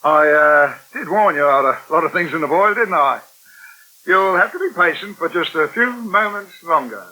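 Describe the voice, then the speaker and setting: An actor playing a brusque, self-assured British newspaper owner in a 1980 radio soap. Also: male voice, a little high, lively intonation, normal speed, bright, forceful tone, heavily acted. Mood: curt. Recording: dull 1980 radio recording.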